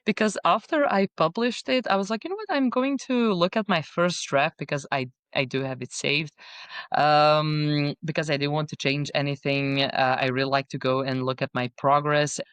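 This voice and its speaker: A woman's voice: deep voice, moderate pace, smooth, no room sound. A young woman author whose first language is not English, chatting casually in an interview with many fillers and restarts. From Bosnia and Belgium. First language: not English; speaks French, Dutch, Bosnian. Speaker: guest author.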